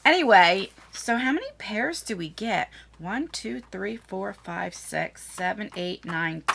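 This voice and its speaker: Casting: woman, middle-aged, effusive beauty vlogger, bright forceful voice with a gravelly fry, casual unboxing chat. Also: mid-range voice. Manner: effusive, talks to viewers and to the items. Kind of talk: casual chatty product unboxing.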